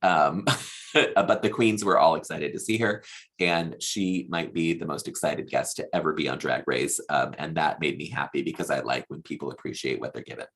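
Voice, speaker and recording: Low, creaky-voiced man chatting casually. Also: a bit bright. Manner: chatty, gossipy, opinionated. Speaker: podcast host reviewing a TV show. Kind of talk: casual recap commentary.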